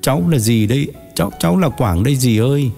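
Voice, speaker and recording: A man's voice: mid-range voice, expressive, quick pace, vocal fry, dark tone, faint music behind. A man voicing a weary, humiliated, tearful man in an audio story, hesitant and plaintive. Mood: tearful, ashamed. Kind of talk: acted dialogue in an audio story.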